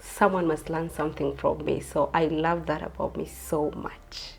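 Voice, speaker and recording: A woman in her twenties from Kenya, a pageant contestant answering interview questions in an earnest, soft-spoken way. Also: low-pitched, level tone, normal speed, vocal fry, breathy edge. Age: 20s.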